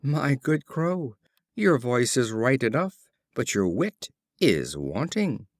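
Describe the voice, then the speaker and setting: A man voicing a sly, flattering fox in a storybook fable, smooth-talking and mock-admiring. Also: medium pitch, takes it slowly, dry recording, clean studio sound.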